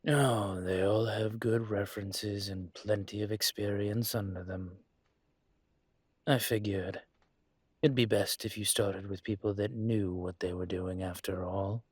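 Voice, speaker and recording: A man acts out a dragon crime lord for a roleplay audio, composed and courteous. The voice is low-pitched and husky, with very unhurried, deliberate phrasing. He sounds protective and politely in control. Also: steady tone, dry recording. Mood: courteous, businesslike. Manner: measured, polite, deliberate with pauses. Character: calm, courteous male dragon mafia boss, protective. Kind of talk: scripted roleplay audio monologue to listener.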